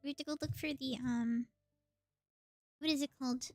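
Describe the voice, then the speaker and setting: A woman's voice: sits high, steady tone, normal speed, faint music behind. A woman livestreamer giving casual, chatty gameplay commentary, thinking aloud as she plays.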